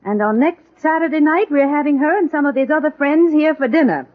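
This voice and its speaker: A woman's voice: high-pitched, brisk, close to an everyday voice. An actress playing a fretful but determined middle-aged mother in 1940s radio comedy style. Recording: muffled, lo-fi old radio broadcast.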